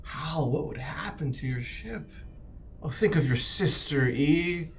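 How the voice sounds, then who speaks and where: Man playing a slick, menacing company agent, animated. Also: on the high side, slow pace, a little hiss or hum. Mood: needling, menacing. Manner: oily, cajoling, drops to whispered threats. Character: slick, menacing male corporate agent, bribing and threatening crew. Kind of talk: improvised tabletop roleplay acting.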